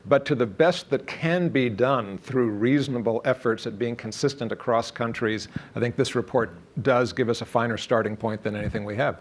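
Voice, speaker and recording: A man on a discussion panel, offering measured, reflective comments. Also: mid-range voice.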